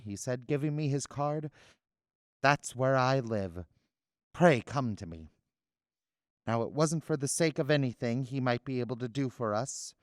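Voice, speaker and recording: A man's voice: mid-range voice, no echo, close to an everyday voice. Kindly, grieving father played by a man, dry recording. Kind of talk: radio drama acting.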